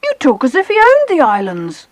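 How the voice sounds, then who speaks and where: High, animated, excitable British child. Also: female voice, moderate pace, no echo. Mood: challenging. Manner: eager, expressive storytelling. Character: excitable, well-spoken children on an island holiday adventure. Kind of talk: dramatized children's audiobook acting.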